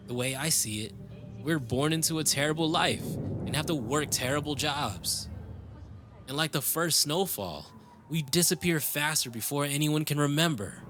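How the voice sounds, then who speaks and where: A man's voice: mid-range voice, background noise, lightly acted. A man playing a world-weary, tender cyberpunk dreamer in love, speaking intimately and reflectively in a romantic audio roleplay. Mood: fatalistic. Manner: intimate, reflective, switching into Tagalog phrases.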